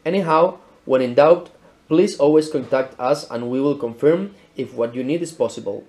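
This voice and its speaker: A man's voice: mid-range voice, moderate pace, darkish tone. Guy from spain explaining gear step by step, careful scripted delivery, showroom, mic a bit off. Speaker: product presenter. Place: indoor showroom with equipment.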